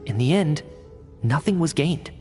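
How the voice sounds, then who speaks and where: Cool, analytical male scientist, higher-pitched. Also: animated, measured pace, dark tone, faint music behind. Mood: explanatory. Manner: precise, analytical, matter-of-fact. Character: young male scientist, cool, rational, articulate. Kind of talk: video game voice acting, expository dialogue.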